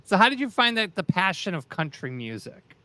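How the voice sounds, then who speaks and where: A man's voice: high-pitched, very animated, brisk, sharp tone, no echo. A man hosting a casual talk show interview, loose and joking, swearing now and then.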